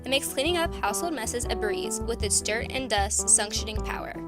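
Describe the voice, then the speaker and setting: Lady doing upbeat old radio ad seller, fairly high voice, loud music under. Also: lively intonation, brisk, natural voice. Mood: selling. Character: upbeat 1920s radio ad saleswoman. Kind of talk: scripted radio advertisement.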